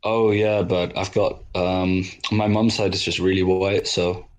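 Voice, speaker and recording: A man in his twenties from the Netherlands, a podcast guest chatting in a relaxed, loose way. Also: deep voice, unhurried. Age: 20s. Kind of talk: casual chat.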